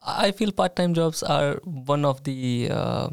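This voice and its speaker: A man hosting a casual student podcast interview, friendly and often pausing on "uh" fillers. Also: on the high side, animated, creaky, smooth. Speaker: podcast host.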